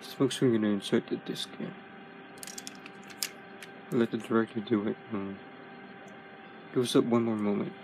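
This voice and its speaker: A man's voice: fairly low pitch, steady tone, normal speed, long pauses, noisy background, close to an everyday voice. A man voicing a laid-back male robot toy hero in a home toy video, talking with lots of fillers and restarts. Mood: easygoing. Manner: rambling, many fillers.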